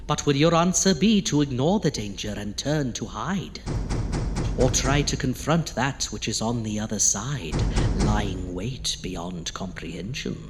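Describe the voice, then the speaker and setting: A man playing a gleefully malicious, pompous undead horror host with a theatrical, arch delivery in a campy audio drama. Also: medium pitch. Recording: long reverb, faint noise.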